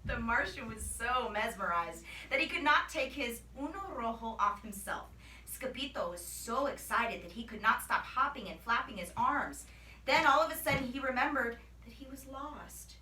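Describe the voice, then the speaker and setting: A woman reading a children's story aloud as a teacher, with expressive, animated narration. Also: a little high, moderate pace. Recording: echoey room, distant microphone.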